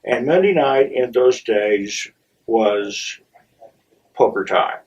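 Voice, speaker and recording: A middle-aged or older man telling a personal story in a theatrical, wry way, with dramatic pauses and halting asides. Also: medium pitch, lively intonation, a little mellow, lo-fi sound. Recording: distant microphone.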